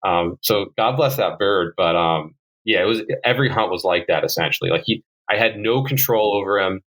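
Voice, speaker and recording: A man's voice: sits low, fairly quick, a bit bright, poor audio. A young man in his twenties from New Jersey, a guest falconer telling stories in casual podcast chat, full of likes and ums. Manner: rambling storyteller, many likes and ums.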